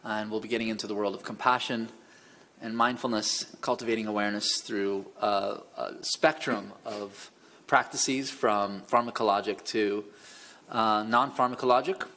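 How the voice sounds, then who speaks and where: A man introducing his work on a conference panel in a measured way. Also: on the low side, fairly even intonation, pushed and bright.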